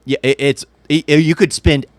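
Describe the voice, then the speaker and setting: A man's voice: on the high side, steady tone, moderate pace, dry recording. A man talking casually as a podcast co-host, explaining things and giving his opinions. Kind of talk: casual chat about games and movies.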